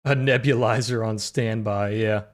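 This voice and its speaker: A young man with a naturally deep voice that sometimes cracks high, hosting a trading livestream in relaxed, filler-heavy chat. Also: measured pace. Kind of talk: casual live-stream chat with chat readers.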